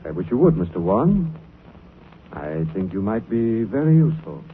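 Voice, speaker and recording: An actor playing a suave, composed gentleman detective in a 1940s radio drama, urbane in manner, on a scratchy old recording. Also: lowish voice, big pitch swings, normal speed, narrow, tinny audio.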